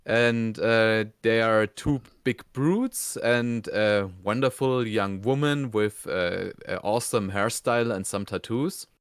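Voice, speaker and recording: A man co-hosting a casual review, retelling scenes in a hesitant, halting way. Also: lowish voice, clear, resonant tone, no room sound, studio quality. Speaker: reviewer, co-host.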